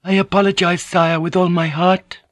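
A man's voice: high-pitched, level tone, normal speed, a bit bright, no echo, pitched above the performer's own voice. A man voicing an abject, deferential young widowed noblewoman who pleads submissively in an audiobook. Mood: pleading.

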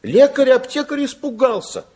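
A man narrating a children's radio play in an expressive storybook style, with dramatic pauses. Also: extremely high pitch. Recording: old 1960s radio recording with music.

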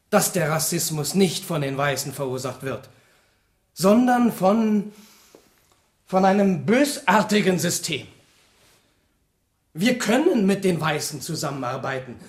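A man's voice: on the high side, slightly rough. An actor playing an intense, courteous male activist leader in a German radio drama, formal and insistent. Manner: formal and measured, insistent with emphatic repeats.

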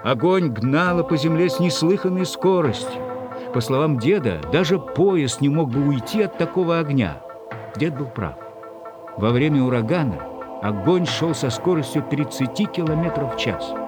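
A man reading a literary story as an audiobook narrator, with a husky voice. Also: fairly high pitch, expressive, moderate pace, music in the background.

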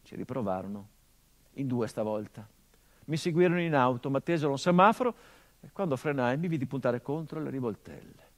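An actor playing a hardened, grieving middle-aged son, delivering a measured theatrical monologue that drops to hushed tones. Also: male voice, medium pitch, expressive, lightly acted. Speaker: stage actor.

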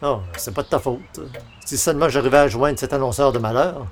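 A man's voice: mid-range voice, fairly quick, lightly acted. A man acts out a good-natured small-town columnist in an old-time radio comedy. His Canadian French is colloquial, hesitant and exclamatory, with a touch of frustration. Faint noise sits behind the voice. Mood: sheepish, frustrated.

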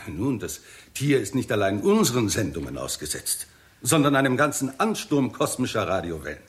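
Confident male scientist, 1960s radio drama, very lively intonation, deliberate lecturing, emphatic phrasing. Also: lowish voice. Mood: assured, emphatic.

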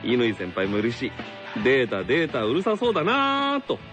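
A man's voice: mid-range voice, wildly swooping pitch, normal speed. A man hosting a radio show, teasing and playful in casual banter. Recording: loud music under the talk.

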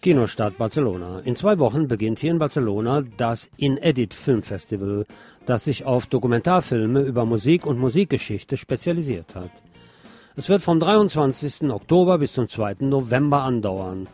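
A man presents the news from a script, adding short asides as he goes. His voice is mid-pitched with a dark, mellow tone. Quiet music plays underneath him. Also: animated, moderate pace.

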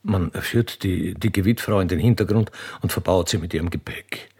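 A man's voice: low voice, moderate pace, a little mellow, husky. A man narrating a radio play in a scripted, dramatic style.

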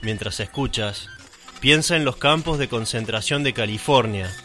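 A man from Argentina reading a scripted radio narration in a measured, solemn way, addressing the listener directly. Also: medium pitch, pushed and bright, soft background music. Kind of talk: scripted dramatic radio narration over music.

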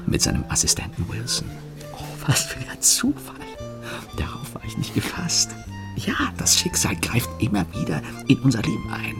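An actor in a German radio play voicing a suave, teasing French gentleman thief with an amused, courteous, ironic manner. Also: lowish voice, very animated, moderate pace, creaky, in a whisper, over music. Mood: amused, scheming.

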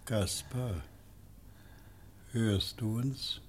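Low-voiced, concerned man at a deathbed. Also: extremely slow. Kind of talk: German radio play acting. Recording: noisy old radio drama recording.

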